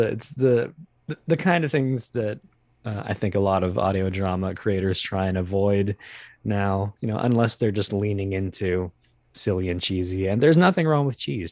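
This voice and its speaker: A man's voice: fairly low pitch, moderate pace, darkish tone, dry recording. A man speaking as an interview guest, explaining his voice acting craft in a hesitant, rambling way with wry asides. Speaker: guest, voice actor.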